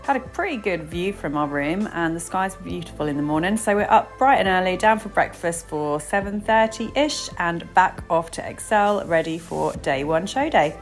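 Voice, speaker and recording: A woman's voice: on the low side, animated, moderate pace, hardly any pauses, faint music behind. A woman from England narrating her trip to a trade show, chatty and upbeat, a bit bunged up. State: stuffy, bunged up. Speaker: vlogger and nail educator. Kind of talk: casual vlog narration.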